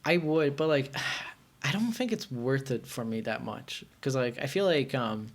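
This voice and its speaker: A man's voice: mid-range voice, measured pace. A man from Iran chatting casually and telling anecdotes in a rambling, self-deprecating way. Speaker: podcast guest.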